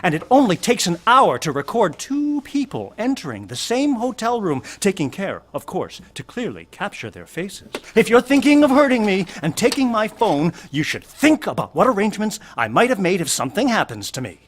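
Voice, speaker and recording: An actor playing a resentful security officer turned blackmailer in an audio drama, petulant, menacing and volatile. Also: male voice, sits high, wildly swooping pitch, moderate pace, few pauses, heavily acted. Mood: smug threat.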